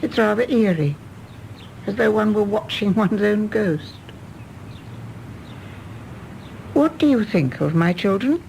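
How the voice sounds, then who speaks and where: Wistful English widow, creaky voice. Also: female voice, mid-range voice, animated, darkish tone, slight background noise, poor audio. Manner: measured, genteel, frank. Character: composed, wistful, candid English widow and mother. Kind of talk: British stage-play radio drama acting.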